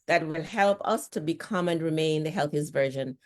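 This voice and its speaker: Jamaican lady, middle-aged, pretty low voice, chatty guest, repeats herself a lot. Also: moderate pace. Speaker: nurse and author, guest. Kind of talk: casual conversational talk.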